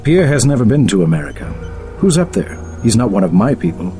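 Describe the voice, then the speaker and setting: An actor playing a composed, formal man in a video game, speaking in measured tones. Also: mid-range voice, sing-song, mellow, soft background music, lightly acted.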